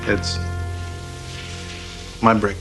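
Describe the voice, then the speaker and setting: An actor playing a calm, needling older master pool player in an American accent, speaking in a measured, deliberate way for a television drama. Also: male voice. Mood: cool, confident. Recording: television soundtrack with music behind.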